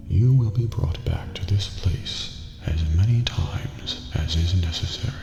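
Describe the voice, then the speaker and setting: An eerie old keeper of a dream prison, very low and gravelly. He speaks in a slow, formal, cryptic near-whisper, as in a game roleplay, inside a reverberant hall with music behind. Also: highs cut off. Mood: solemn, soothing. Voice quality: deep, breathy, creaky near-whisper. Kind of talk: scripted ASMR roleplay based on a video game.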